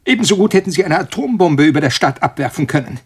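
Radio drama: trapped, panicking researcher; higher-set husky voice, stumbling, agitated, repetitive. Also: male voice, big pitch swings. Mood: frantic, demanding. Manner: agitated, halting, repeats himself. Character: cornered, panicking male molecular biologist pleading with his tormentor.

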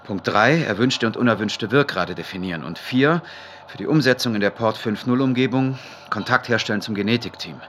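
Actor as deep, crisp-voiced pensive male researcher. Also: fairly quick, fairly bright tone, natural voice. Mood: focused, businesslike. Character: pensive male medical researcher dictating work notes. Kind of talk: audio drama monologue. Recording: clear background noise.

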